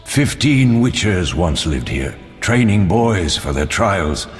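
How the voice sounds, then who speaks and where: Grave old warrior mentor, low voice. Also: male voice, very animated, slow pace, heavily acted. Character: grave old male mentor of monster hunters, steady, fatherly. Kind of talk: dramatic video game dialogue. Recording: long hall reverb with music behind.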